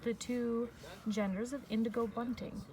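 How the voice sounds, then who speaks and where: A woman teacher reading a picture book aloud to young children, explaining in a measured way with pauses between phrases. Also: a little high, level tone, crowd noise. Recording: distant mic with faint background noise.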